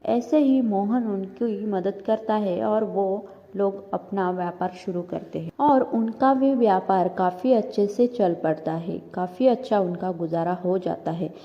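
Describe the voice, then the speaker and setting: A woman's voice: medium pitch, a little slow, few pauses. Female narrator for scripted cartoon moral tales, mid-range voice, lo-fi sound in a big reverberant space. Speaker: story narrator. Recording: dull, lo-fi sound with long reverb.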